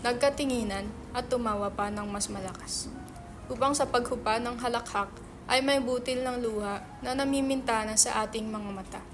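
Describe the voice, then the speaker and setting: A woman playing an excitable, theatrical young male writer who likes to tease, in a dramatic reading of a stage play. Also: on the high side, moderate pace, close to an everyday voice. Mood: impassioned romantic recitation. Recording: background music under the voice.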